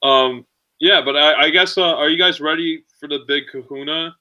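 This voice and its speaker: Bright higher guy voice hosting a laid-back movie podcast, stop-and-start talker. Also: fairly even intonation, normal speed, highs cut off. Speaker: podcast host. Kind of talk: casual movie chat.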